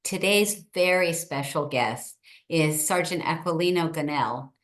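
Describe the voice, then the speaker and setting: A woman's voice: low-pitched, measured pace. A middle-aged woman hosting a short interview over a video call, introducing her guest and asking questions in an upbeat, enthusiastic way. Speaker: host, state senator.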